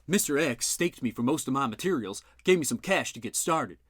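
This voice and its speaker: A man voicing a gruff, blustering older male inventor who talks loud and boastful. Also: medium pitch, lively intonation, a little fast, dry recording, studio quality, voice pushed up, lightly acted.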